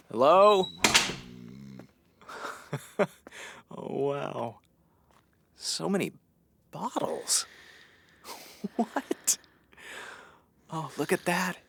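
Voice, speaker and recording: A man's voice: a little high, creaky, a bit bright, husky, exaggerated voice. A man playing a curious, easily amazed radio station colleague muttering to himself in a comedic audio drama. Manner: muttering to self, exclamatory.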